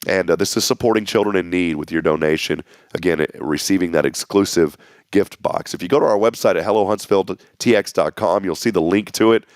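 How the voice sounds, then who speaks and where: Host, man, deep and hoarse, upbeat announcer, dry studio sound. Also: brisk, dry recording. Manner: folksy, upbeat community announcer. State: losing his voice. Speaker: morning show host. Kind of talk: local morning show announcements. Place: small local TV studio.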